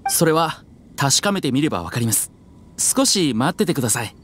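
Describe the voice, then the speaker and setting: Polite, low-voiced bookish young man. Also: big pitch swings, a little fast, slight background noise. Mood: earnest. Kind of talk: Japanese anime game voice acting.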